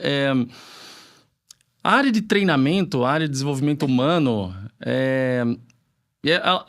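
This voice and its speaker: A man's voice: a little high, vocal fry, fairly bright tone. A man with a caipira accent from the São Paulo interior, a trainer guest speaking earnestly with many stammered restarts. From São Paulo state interior, Brazil. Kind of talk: casual interview chat.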